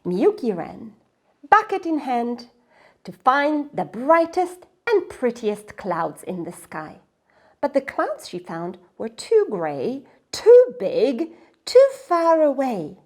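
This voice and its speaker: A woman reading a children's story aloud in a dramatic, expressive way, sometimes dropping to hushed, breathy phrases. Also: high-pitched, takes it slowly.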